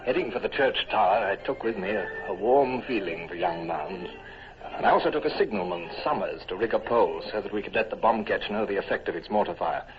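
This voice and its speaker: An actor playing a calm, resolute naval commodore who also narrates, in a measured command voice. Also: male voice, lowish voice, music in the background, natural voice. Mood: narrating. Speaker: narrator and lead. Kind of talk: 1940s radio drama acting. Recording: muffled old radio with clear hiss.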